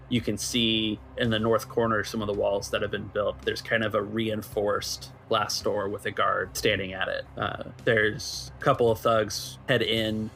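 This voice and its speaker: A man working as game master, improvising descriptions of a scene in a halting way. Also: on the low side, creaky, bright, forceful tone. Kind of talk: improvised tabletop game narration.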